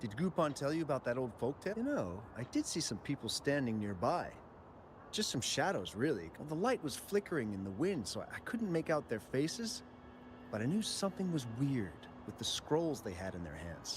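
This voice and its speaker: A man's voice: medium pitch, brisk, background noise, close to an everyday voice. An actor playing a nervous, chatty young miner telling what he saw, in a game voiceover. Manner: lively, storytelling, jumpy. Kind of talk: game voice acting. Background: game music.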